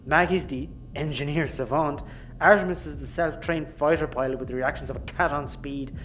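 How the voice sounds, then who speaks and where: A man's voice: a little high, fairly even intonation, moderate pace, fairly bright tone, slight background noise, lightly acted. Actor doing wry irish spaceship guy, flustered and self-deprecating, sci-fi comedy audio drama.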